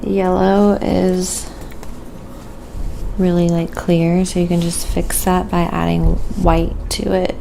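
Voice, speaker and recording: Female ASMR streamer, lowish and very even in pitch, unhurried casual narration, wind and noise behind her. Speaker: livestreaming craft painter and host. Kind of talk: quiet ASMR painting livestream, casual chat. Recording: clear background noise, windy ambience. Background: wind howling.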